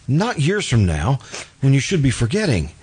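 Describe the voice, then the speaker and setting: A man acting an earnest, lovesick, quietly obsessive man in an old-time radio drama, halting and pleading as he repeats himself. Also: medium pitch, wildly swooping pitch. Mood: urgent pleading. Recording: noisy amateur radio drama recording.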